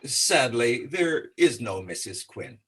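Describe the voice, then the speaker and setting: An actor playing an affable, oblivious bachelor jockey who drops in as the neighbor in an old-time radio comedy. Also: male voice, mid-range voice, sing-song, takes it slowly, fairly bright tone, husky, heavily acted. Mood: breezy, affable.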